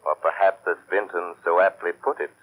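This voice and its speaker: A man's voice: low-pitched, fairly even intonation, brisk, close to an everyday voice, rough recording. A man acting a mysterious, menacing crime-fighting avenger in an old radio drama, speaking in a commanding, ominous way. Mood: stern, pressing. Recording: old-time radio recording.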